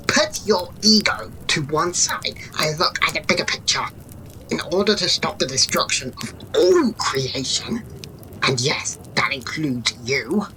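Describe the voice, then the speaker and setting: A woman's voice: deep voice, pushed and bright, exaggerated voice, poor audio. Woman doing a flippant giggly jester lady, fantasy audio play, very swoopy, bit of background noise. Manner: sarcastic, giggly, theatrical. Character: mocking, playful female jester, flippant yet shrewd. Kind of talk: fantasy audio drama acting.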